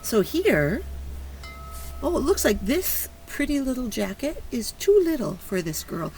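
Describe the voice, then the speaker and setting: A woman teacher reading a picture book aloud to young children, stopping to ask questions and encourage them in an expressive way. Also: sits high, unhurried, soft background music, low-quality recording. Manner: encouraging, praising child listeners; expressive, exclaiming and prompting. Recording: clear background noise.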